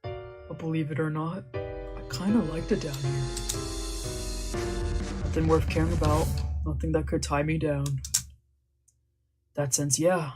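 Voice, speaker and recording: A woman streamer reading a visual novel aloud in a casual way, swearing freely in the rough lines. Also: low-pitched, steady tone, unhurried, long pauses, over music. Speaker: VTuber playing a visual novel.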